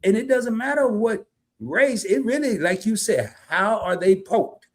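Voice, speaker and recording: A man co-hosting a casual live stream, reading out viewer comments and telling lively stories with quick asides. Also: high-pitched. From family from Arkansas and Chicago, lived in California. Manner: animated storyteller who drops into quick asides and reads comments in voices. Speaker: co-host of a live discussion stream.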